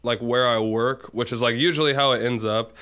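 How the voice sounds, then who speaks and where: Smooth-voiced man, rambling casual chat. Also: mid-range voice, steady tone, a little fast, sharp tone. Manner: rambling, many fillers and "like"s. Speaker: guest musician.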